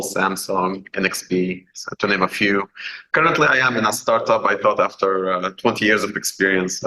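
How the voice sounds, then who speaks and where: A man in his forties, raised in Saudi Arabia, introduces himself at a forum. His voice is deep yet bright and forceful, and he speaks haltingly, stopping often on "uh". It is heard in an echoey meeting room. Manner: halting, many "uh" fillers. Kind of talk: self-introduction at a community forum.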